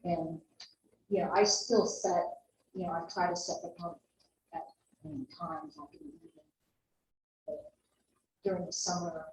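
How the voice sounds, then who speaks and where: A woman's voice: fairly low pitch, steady tone, normal speed, long pauses, a little mellow. A woman in the audience making a casual comment from the floor with loose, trailing phrases. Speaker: audience member. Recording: echoey room with audible room noise.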